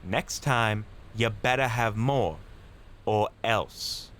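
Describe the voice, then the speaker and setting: A man's voice: fairly low pitch, takes it slowly, a bit bright, a little hiss or hum. A man playing an impatient, threatening mugger in an audio drama, curt and menacing. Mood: irritated, threatening.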